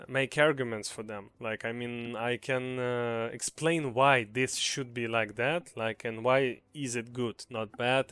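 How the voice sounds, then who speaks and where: A man's voice: mid-range voice, fairly bright tone, clear, resonant tone, no echo. A young man with a Ukrainian accent giving a casual, earnest gaming commentary, hesitant with many fillers and restarts. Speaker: gaming video creator.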